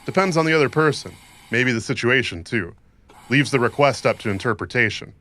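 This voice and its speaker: A tough, sardonic mercenary mentor, played by a man in a cyberpunk audio drama. His voice is forceful and sharp at a middling pitch, with bitter, wry goading in the delivery. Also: lively intonation, fairly quick. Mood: wry, wounded.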